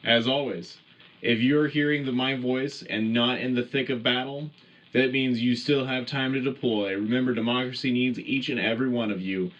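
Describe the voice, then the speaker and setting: A man's voice: medium pitch, fairly even intonation, moderate pace, fairly bright tone, lo-fi sound, lightly acted. A man playing a patriotic wartime radio news anchor, hosting a scripted military broadcast with formal announcer delivery. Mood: solemn, rousing. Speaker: radio show host.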